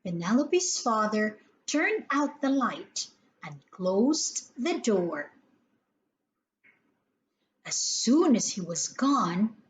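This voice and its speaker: A woman's voice: fairly high pitch, slight background noise, low-quality recording. A woman reading a scripted children's story aloud as the storyteller.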